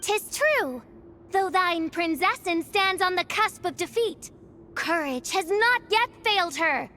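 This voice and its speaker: High, theatrical young princess. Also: female voice, expressive, a little slow, sharp tone, a little hiss or hum, heavily acted. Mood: defiant. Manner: pompous archaic dramatic speech. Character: theatrical young princess, grandiose, commanding servants. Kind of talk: video game character acting.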